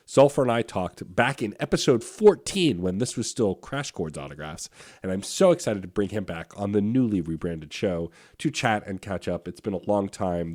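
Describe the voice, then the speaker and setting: A man hosting a podcast interview, hoarse from a cold, talking with eager enthusiasm. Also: low voice, a little mellow, dry recording, clean studio sound. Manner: enthusiastic, gushing praise. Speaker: podcast host.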